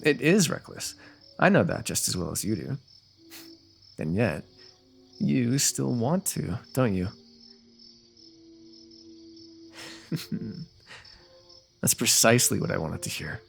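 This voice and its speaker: A man's voice: fairly low pitch, big pitch swings, unhurried, gravelly, pauses often, faint music behind, exaggerated voice. A man playing a confident, teasing royal rival turned ally in a fantasy roleplay audio, speaking in formal, wordy, playfully goading phrases. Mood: eager, goading.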